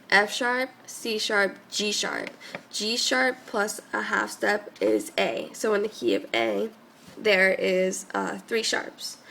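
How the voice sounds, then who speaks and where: Congested young woman with a gravelly voice. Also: mid-range voice, fairly bright tone, slight background noise. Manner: chatty, many "um" and "like" fillers. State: congested from a cold. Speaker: music student tutor. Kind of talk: casual tutorial.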